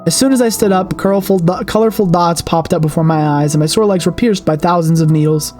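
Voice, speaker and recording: A woman hosting a gaming video, reading a horror game's story aloud in an expressive storytelling manner with her own asides. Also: lowish voice, fast talker. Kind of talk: dramatic read-aloud narration of a horror game with asides. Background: game soundtrack music.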